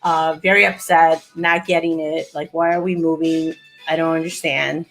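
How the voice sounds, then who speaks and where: Woman in her forties from Peru, animated storyteller, lots of fillers, video call sound. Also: deep voice, moderate pace, faint music behind, poor audio. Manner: animated, laughs often, many fillers and restarts. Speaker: co-host. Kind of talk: casual storytelling chat.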